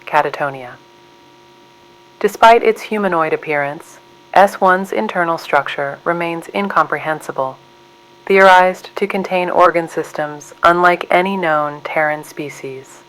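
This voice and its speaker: A woman's voice: low-pitched, fairly bright tone, poor audio. A woman narrating a scripted horror science report in a formal, clinical manner.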